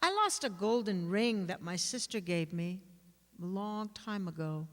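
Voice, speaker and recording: A woman giving a dramatic literary reading, with measured, expressive and deliberate phrasing. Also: mid-range voice. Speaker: actor reading aloud. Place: large indoor hall at a live reading. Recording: echoey hall with long reverb.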